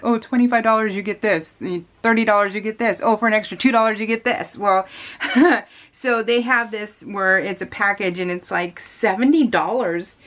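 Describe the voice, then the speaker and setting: A woman's voice: mid-range voice, fairly bright tone. A middle-aged American woman telling personal stories in a casual, rambling, giggly way and acting out remembered conversations. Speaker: craft video blogger. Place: voice-over talk recorded while crafting.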